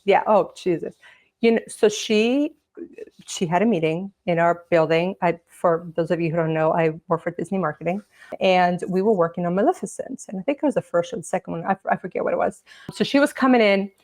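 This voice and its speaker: A woman's voice: fairly low pitch, fairly quick, darkish tone. A woman guest whose first language is Portuguese, telling stories in a casual interview chat, full of "like" and "you know". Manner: chatty storyteller, lots of "like" and "you know". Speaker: podcast guest.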